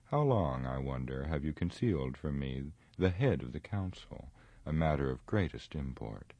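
A man voicing a very deep, sneering, proud wizard. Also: animated, warm tone, no room sound, highs cut off, heavily acted. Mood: cold, accusing. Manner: sneering, pompous, drawn-out mocking delivery. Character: scornful, proud, grave male wizard with cold menace. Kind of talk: dramatic audiobook reading of fantasy.

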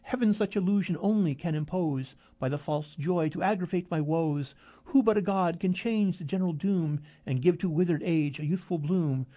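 A tipsy young man with a flair for the dramatic, rolling out lines of poetry as if on stage, as a narrator puts him on. His voice rides a little higher than the storyteller's own and swells louder as he declaims, with a mellow, warm tone. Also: moderate pace. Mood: overwrought. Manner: grandiose, declaiming verse. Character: drunk, theatrical young man quoting poetry.

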